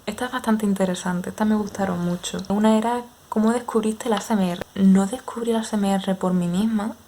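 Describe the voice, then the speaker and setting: A young Andalusian woman in her twenties talks casually to her viewers, taking her time and often pausing on little fillers. Her voice sits at an ordinary middle pitch for a woman. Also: steady tone, dark tone, a little hiss or hum. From Andalusia, Spain. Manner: unhurried, hesitant with frequent fillers. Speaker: ASMR creator.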